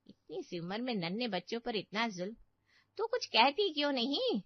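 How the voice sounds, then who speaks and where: A woman's voice: fairly high pitch, big pitch swings, normal speed, no room sound, lo-fi sound. A woman playing a nagging, meddling older aunt in a cartoon story, with a scolding, pitying and boastful manner. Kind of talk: dramatic Hindi cartoon story acting.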